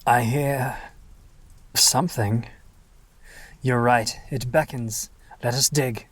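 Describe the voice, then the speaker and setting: Man playing a slow, pompous, morbid grave robber. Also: medium pitch, fairly even intonation, a bit bright, no echo. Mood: obsessive, intense. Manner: theatrical, lofty, archly formal. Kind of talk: horror audio drama acting.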